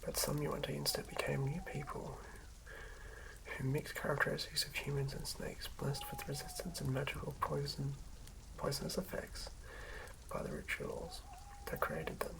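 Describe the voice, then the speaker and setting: A person whispering softly and haltingly in an unhurried ASMR style, as a host talking through and reading tabletop game material. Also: medium pitch, flat delivery. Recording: lo-fi whisper with clear background noise.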